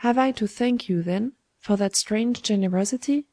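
A woman's voice: mid-range voice, normal speed, mellow, dry recording, studio quality, close to an everyday voice. A woman voicing a proud, flustered young male student in a storybook, indignant and earnest. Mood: astonished. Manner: indignant, breathless questioning.

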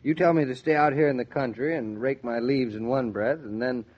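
A man's voice: mid-range voice, fairly quick, muffled recording, natural voice. A man playing a wry, proud young husband and father in a 1940s radio drama. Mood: resigned, earnest.

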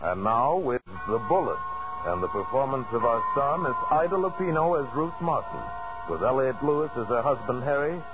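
A man's voice: medium pitch, lively intonation, normal speed. A man announcing a 1940s radio thriller in a dramatic, scripted introduction. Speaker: program announcer. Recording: muffled 1940s radio recording. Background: loud orchestral theme music.

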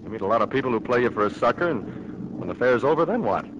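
An actor playing a heartbroken, sullen young farm son in a 1940s radio drama. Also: male voice, mid-range voice, big pitch swings, very fast, muffled recording, noisy background, lightly acted. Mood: glum. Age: young adult.

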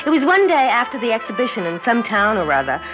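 Blunt young champion athlete, muffled vintage radio. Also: female voice, on the high side, big pitch swings, fast. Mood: matter-of-fact narration. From United States. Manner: blunt, informal, matter-of-fact. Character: young champion woman athlete, plain-spoken, cocky and naive. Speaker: radio drama actress. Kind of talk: 1960s radio acting, first-person narration and dialogue. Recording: muffled 1960s radio recording with music.

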